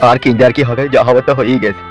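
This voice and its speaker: A man playing a wounded older village father in hospital, hushing and cautioning his son. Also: mid-range voice, steady tone, racing, faint music behind, lightly acted. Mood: pleading.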